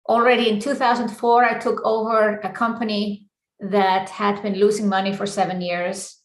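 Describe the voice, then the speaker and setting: The voice rings around an echoey room. It belongs to an Icelandic-accented woman with a medium pitch. She presents as a business coach in a live online session, setting out her points deliberately in short, emphatic phrases. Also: a bit bright.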